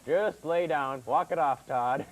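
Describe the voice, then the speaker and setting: A man hosting a comedy puppet segment, talking haltingly as he banters and tries to keep a straight face. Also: on the high side. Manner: halting, breaks into chuckles. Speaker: host. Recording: noisy amateur TV recording.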